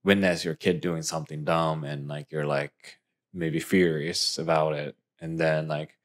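A man's voice: a bass voice, level tone, unhurried. A young man co-hosting a podcast in a small room, asking long rambling questions full of fillers into a microphone on a stand. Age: young adult. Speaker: podcast co-host. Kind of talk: casual chat. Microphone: boom mic on stand.

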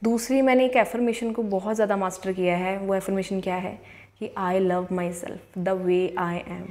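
A young woman gives an earnest motivational talk to camera, close and clear on a lapel mic. She slows down to repeat her affirmations, and her pitch sits in a middle range for a woman. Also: steady tone, darkish tone. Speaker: law of attraction coach. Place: indoors, in front of a plain wall. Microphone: clip-on lapel mic.

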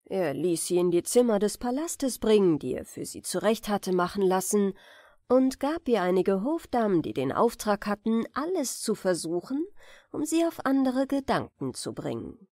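A woman narrator giving a measured, careful, expressive reading of a fairy tale as a bedtime audiobook. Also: medium pitch, no echo, studio quality.

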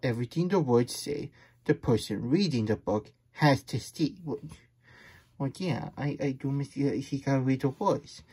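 A man voicing a childlike, fretful and grumbly plush hedgehog who hosts a puppet story time, stammering through exasperated asides with a husky voice. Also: mid-range voice, unhurried, mellow. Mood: reluctant, fretful. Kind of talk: puppet read-aloud with chatty asides.

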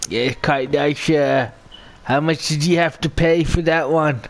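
A man voicing an eighty-one-year-old pensioner who talks in slow, halting, stammering bursts over a game chat. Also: on the high side, steady tone, music in the background, close to an everyday voice. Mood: doddering. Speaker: gamer pranking on voice chat.

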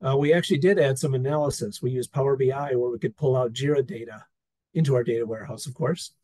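A man presenting an experience report over slides on a video call, talking in a relaxed, wry way with fillers and restarts. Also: mid-range voice, dry recording. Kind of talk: conversational talk over slides.

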